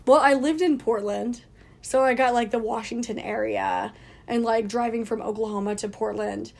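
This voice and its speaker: A woman's voice: on the high side, fairly even intonation, normal speed, vocal fry, darkish tone. A young woman with a scratchy voice, co-hosting a casual podcast and laughing often. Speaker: podcast co-host. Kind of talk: casual chat. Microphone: leaning close to a phone.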